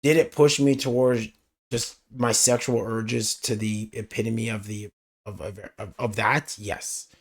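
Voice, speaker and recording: A young man with a raspy voice hosting a reaction video, talking in a laid-back, slangy, rambling way. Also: fairly low pitch, level tone, unhurried. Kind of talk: casual reaction commentary.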